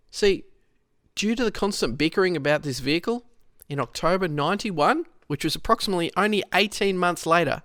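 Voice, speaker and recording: Australian man narrating, higher-pitched voice, dry sound. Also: fairly bright tone, no echo. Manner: storytelling, addresses the listener directly. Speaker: narrator, rescue unit member. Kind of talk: scripted historical narration with conversational asides.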